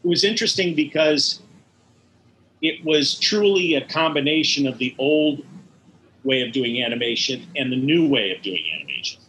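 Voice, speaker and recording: Male animator as interview guest: bright, crisp-edged tone, explanatory and detailed, slight noise behind. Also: mid-range voice, expressive, normal speed, poor audio. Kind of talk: in-depth interview recollection.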